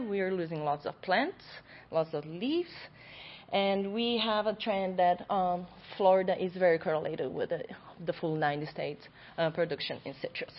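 A young adult woman, a graduate student, giving an academic conference presentation in a loud voice in a lecture room. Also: mid-range voice. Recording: dull lo-fi recording.

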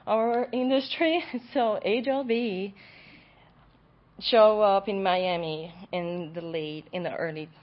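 A young adult woman, a graduate student, giving an academic conference presentation in a loud voice in a lecture room. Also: medium pitch, fairly even intonation, takes it slowly. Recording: dull lo-fi recording.

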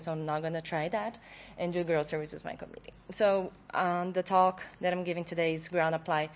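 A young woman with a lowish voice presents her research at a conference and speaks loudly enough to fill a lecture room. The recording is dull and lo-fi, as if from a basic room setup. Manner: loud voice. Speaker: graduate student presenter.

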